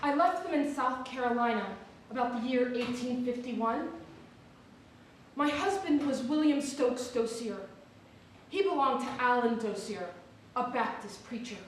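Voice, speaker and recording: An actress plays a formerly enslaved woman searching for her family. Her voice is fairly high and very unhurried, a solemn dramatic reading from far off in a strongly echoing hall. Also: lightly acted. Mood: solemn, longing. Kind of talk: dramatic reading of a historical newspaper ad. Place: theatre or hall, recorded from a distance. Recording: echoey hall from far off, faint noise.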